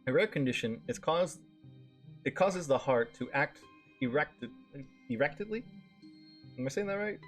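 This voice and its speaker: Guy streamer reading a visual novel out loud, really lively pitch, trips on words, swears a bit, faint music behind. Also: normal speed, pauses often. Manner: stumbles over hard words, swears casually.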